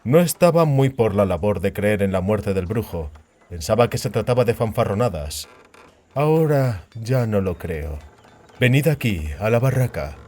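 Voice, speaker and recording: A man voicing a tall, smug, sinister half-elf in a fantasy audiobook, sonorous and sardonic in an unhurried way. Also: on the low side, expressive, darkish tone. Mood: commanding, calm. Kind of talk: audiobook character voice.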